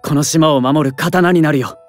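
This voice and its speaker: A man's voice: on the high side, moderate pace, faint music behind, natural voice. A man playing a resolute, grieving young male student in an audio drama, speaking earnestly and with feeling. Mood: impassioned resolve. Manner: earnest, emotionally swinging. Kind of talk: Japanese voice drama acting.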